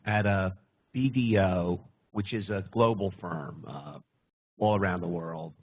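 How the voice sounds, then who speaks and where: An older man speaks on a business panel, plain and dryly joking. His voice is very deep and husky. The sound is thin and phone-like. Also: unhurried. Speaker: accountant, business developer. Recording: tinny, lo-fi.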